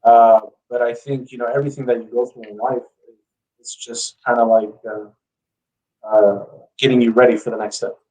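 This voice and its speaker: A man's voice: medium pitch, flat delivery, moderate pace, a little mellow, far from the mic, low-quality recording. A man telling his story as a guest in a casual interview, speaking informally with lots of "you know". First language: English.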